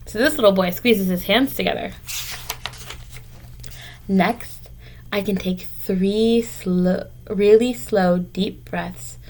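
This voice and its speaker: A woman teacher reading a picture book aloud to young children, explaining and encouraging them in simple, direct words. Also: mid-range voice.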